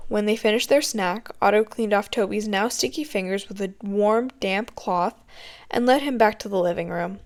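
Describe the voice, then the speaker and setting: A woman reads a story aloud in scripted narration. She is an amateur audiobook reader, and her voice sits at an average pitch for a woman. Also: normal speed.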